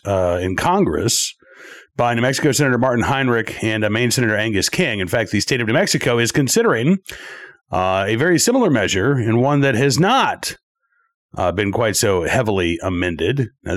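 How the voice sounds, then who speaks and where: Stuffy-nosed man hosting with animated delivery. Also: medium pitch. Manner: animated, talks in bursts with many fillers. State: stuffed up and scratchy from allergies. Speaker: show host. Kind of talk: conversational political talk show.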